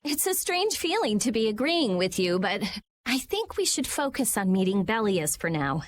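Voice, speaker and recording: An actress playing a blunt, sharp-tongued but caring young girl in a video game. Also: mid-range voice, big pitch swings, moderate pace, natural voice. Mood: matter-of-fact. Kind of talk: video game cutscene acting.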